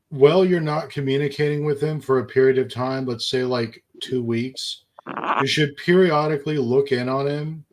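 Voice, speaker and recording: A man in casual panel chat who explains an idea slowly, with many uhs. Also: mid-range voice, low-quality recording.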